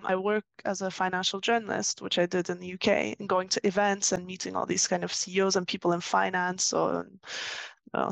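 A woman's voice: mid-range voice, creaky, dry recording. A woman from Turkey giving conversational, explanatory answers as an interview guest. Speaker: interview guest, author and financial journalist.

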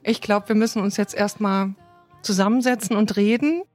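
A woman in her mid-fifties from Thuringia in Germany, thoughtfully recounting her personal story, with soft music underneath. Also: mid-range voice, steady tone, moderate pace. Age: 50s. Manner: reflective and thoughtful. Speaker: interviewee.

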